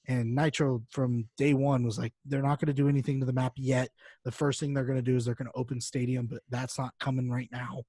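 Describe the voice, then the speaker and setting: Male podcast co-host, mid-pitched, informal and joking, recorded close and dry. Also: little pitch movement, brisk, dark tone, slightly rough, no echo. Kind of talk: casual podcast chat.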